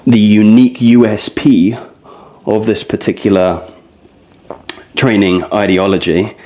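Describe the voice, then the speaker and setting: A man's voice: on the low side, warm tone. A man in his early thirties talking casually and discursively to listeners as a fitness vlogger, addressing them directly. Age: early 30s. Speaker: fitness vlogger leading a core routine. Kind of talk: casual rambling talk to camera.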